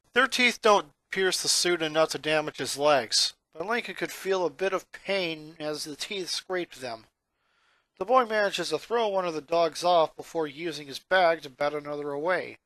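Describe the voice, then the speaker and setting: Bright, higher-voiced man narrating a story. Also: no room sound. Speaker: narrator, fan fiction reader.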